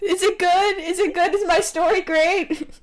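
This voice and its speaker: A woman with a very high voice reads fan fiction aloud as casual comedy. Her delivery is animated and teasing, mixed with chatty banter. The microphone sounds rough and low in quality. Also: moderate pace. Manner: animated, teasing, breaks into laughter. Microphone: poor-quality microphone.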